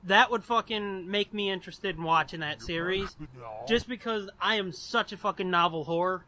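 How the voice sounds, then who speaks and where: High, bright-voiced man, blunt and sweary. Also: normal speed. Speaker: podcast co-host. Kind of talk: casual pop-culture chat, reading listener questions.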